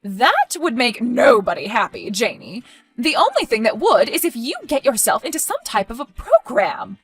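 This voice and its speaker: Woman as high-pitched, overbearing melodramatic mother. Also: very animated, normal speed, slightly rough, dry recording. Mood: angry, accusing. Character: overbearing, guilt-tripping, melodramatic middle-aged mother. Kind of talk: dramatic dialogue acting.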